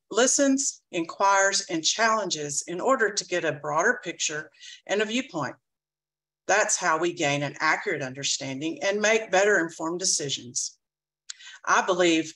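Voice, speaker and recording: A woman reading a prepared campaign speech as a board candidate, measured and earnest. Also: fairly low pitch, fairly bright tone, low-quality recording.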